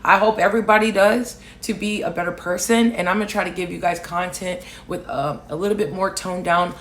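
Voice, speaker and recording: A woman from Alaska, raised in Hawaii, hosting political commentary, talking loudly and emphatically and answering viewer comments. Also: on the low side, steady tone, fairly quick. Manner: loud, emphatic, rising to near shouting on key points.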